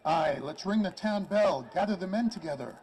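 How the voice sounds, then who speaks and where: A man's voice: high-pitched, expressive, normal speed, a little mellow, low-quality recording. A man playing a timid, prim small-town barman with formal, old-fashioned wording in a radio drama. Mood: disdainful. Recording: murmuring crowd behind.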